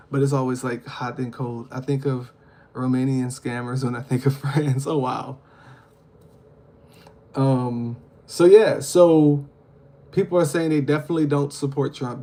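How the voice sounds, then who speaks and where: A man's voice: mid-range voice, darkish tone. A man from hosting a casual livestream, reading viewer comments aloud and answering them with frequent ums and uhs. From Louisiana. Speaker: livestream host.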